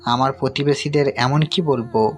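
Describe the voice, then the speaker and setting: A man's voice: mid-range voice, steady tone, moderate pace, close to an everyday voice. A man acting a sceptical, reproving middle-aged father who guards family honour in an audio story. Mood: suspicious, reproving. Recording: music under the voice.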